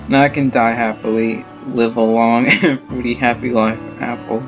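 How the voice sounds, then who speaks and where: A man voicing a lovestruck dying banana in a halting, melodramatic read-aloud of a visual novel. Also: medium pitch, steady tone, soft background music, natural voice. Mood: dying, happy. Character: lovestruck dying banana, coughing out farewell words.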